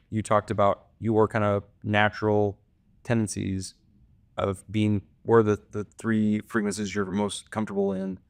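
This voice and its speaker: A man with a fairly low voice co-hosts a podcast. His talk is casual and loose, full of fillers, and the studio sound is dry and close. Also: no room sound. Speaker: podcast co-host. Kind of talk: podcast interview.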